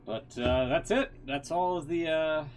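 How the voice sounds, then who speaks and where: A man's voice: fairly high pitch, a little slow, faint music behind. A man streaming a game and giving casual, informal, sweary reaction commentary.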